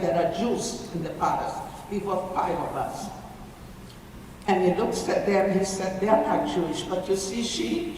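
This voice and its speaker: A woman, giving halting, emotional testimony as a survivor speaking to an audience. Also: on the low side, mellow. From Ukraine. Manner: halting, emotional, restarts phrases. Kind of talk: personal testimony talk to an audience. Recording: echoey hall from far off with faint noise.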